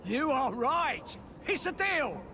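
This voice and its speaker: A man's voice: extremely high pitch, big pitch swings, takes it slowly, noisy background, heavily acted. An actor playing an eager, credulous townsman buying from a street seller in a video game. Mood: eager, convinced. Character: eager, easily persuaded male townsman customer.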